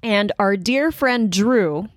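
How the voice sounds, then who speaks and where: A woman co-hosting a casual podcast, talking in a light, playful way and laughing often. Her voice sits at an ordinary middle pitch. The recording is close and dry, with no room sound. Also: animated, takes it slowly, no echo. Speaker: podcast co-host. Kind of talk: casual chat.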